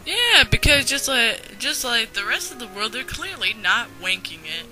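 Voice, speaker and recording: A woman's voice: fairly high pitch, big pitch swings, normal speed, music in the background, low-quality recording. A woman giving casual unscripted commentary, sarcastic and ranting, swearing freely. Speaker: commentary host.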